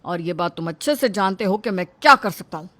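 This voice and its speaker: A performer plays a tyrannical father and shop owner in a melodramatic family story. The voice is bright and hard-edged, always domineering, with a threatening, shouting delivery. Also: medium pitch, animated, fairly quick, close to an everyday voice. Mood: angry, threatening. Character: tyrannical, short-tempered middle-aged father and shop owner. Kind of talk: melodramatic audio story dialogue.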